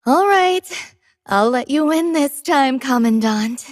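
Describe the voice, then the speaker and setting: A woman playing a gentle, poetic female android companion in a game, soft-spoken and wistful with a breathy voice. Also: medium pitch, a little slow, dry recording, clean studio sound. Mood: playful. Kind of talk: scripted game character voice lines.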